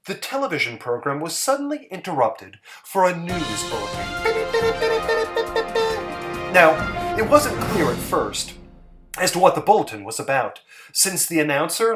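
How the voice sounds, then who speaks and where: A man giving a dramatic story narration, theatrical and emphatic, with pauses for effect. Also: a little high, very animated, a bit bright, faint music behind.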